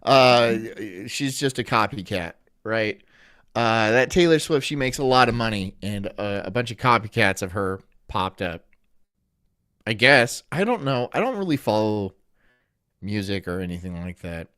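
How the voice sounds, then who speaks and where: A young man from Florida chatting casually on a live stream, rambling through jokes and deadpan absurd asides. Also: fairly low pitch, unhurried, clean tone, dry recording, studio quality. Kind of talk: casual live-stream chat reacting to a video.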